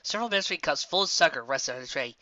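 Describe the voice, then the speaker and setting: An expressive narrator reading a scripted story aloud. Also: medium pitch, racing, no room sound, muffled recording. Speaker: fan fiction narrator.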